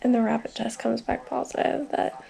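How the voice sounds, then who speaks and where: A woman's voice: on the high side, little pitch movement, normal speed, creaky, warm tone. A woman, sick and congested, rambling through a casual video diary with lots of filler words. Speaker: vlogger.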